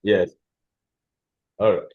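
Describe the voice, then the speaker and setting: A man from Senegal with a French accent, giving a hesitant, halting webinar presentation over a video call, with many fillers and restarts. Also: narrow, tinny audio. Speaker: panelist.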